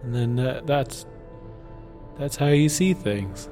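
Guy playing awkward agreeable date, medium pitch, halting and tentative, audio play w music under. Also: expressive, dark tone. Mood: thoughtful. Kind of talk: improvised audio drama acting.

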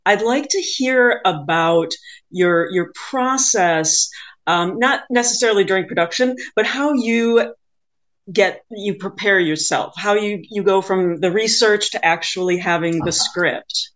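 A woman's voice: medium pitch, measured pace, fairly bright tone. A woman hosting an interview, putting questions to her guests in a conversational way. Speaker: interviewer, host.

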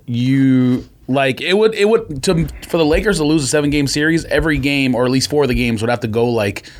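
A man's voice: mid-range voice, brisk. A man co-hosting a podcast with casual sports analysis, explaining his argument point by point. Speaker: podcast co-host.

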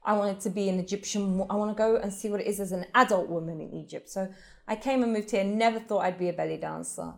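A woman's voice: medium pitch, fast, vocal fry. Frank woman telling her story. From England. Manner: frank, emphatic, with frequent restarts. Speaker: professional belly dancer, interviewee. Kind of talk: candid personal storytelling in an interview.

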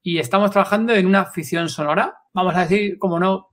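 A man's voice: high voice, quick pace, a bit bright. A man with a Spain Spanish accent hosting a casual podcast, effusive, emphatic and repetitive, swearing freely. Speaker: podcast host.

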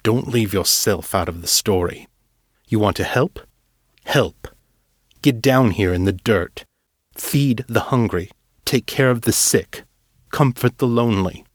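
A man voicing a weary, gruff old man who speaks gravely and bitterly, in an audiobook read by its author. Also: lowish voice, a little slow, long pauses, dry recording, clean studio sound. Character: weary old male street elder, gruff.

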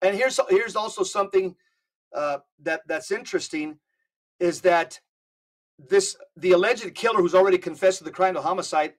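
A man with a high voice speaks as a guest on a casual podcast. He tells his stories in an emphatic, animated way. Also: normal speed.

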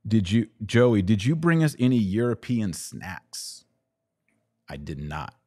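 A middle-aged American man with a deep voice talks as a livestream host answering his audience. His delivery is casual yet emphatic and opinionated, built on short phrases that he likes to repeat. The sound is dry and close, with very little room around it. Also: big pitch swings, slow, darkish tone, dry recording. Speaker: podcast host taking live audience questions. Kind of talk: casual livestream monologue, reading and answering comments.

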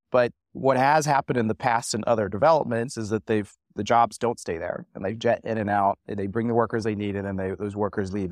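Economist guy on a panel, measured, explains things steady, dry mic. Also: fairly low pitch, no room sound. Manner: measured, analytical. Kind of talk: panel discussion.

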